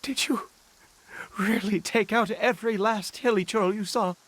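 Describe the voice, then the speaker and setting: A man's voice: high voice, steady tone, a little slow, fairly bright tone. A man playing a desperate, unhinged middle-aged father in a game, stammering and pleading frantically as he searches for his son. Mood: bitter, threatening. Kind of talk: dramatic game voice acting.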